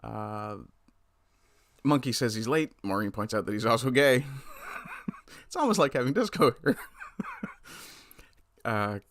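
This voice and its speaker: Male streamer host, blunt and profane, bright forceful tone, dry close mic. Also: mid-range voice, expressive, unhurried, long pauses, dry recording. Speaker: livestream commentator. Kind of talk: casual political commentary, reading chat.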